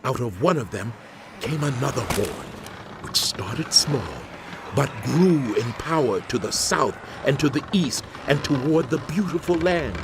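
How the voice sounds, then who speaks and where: A man's voice: fairly high pitch, background noise. A man playing a troubled prophet, recounting visions in solemn, dramatic scripture narration.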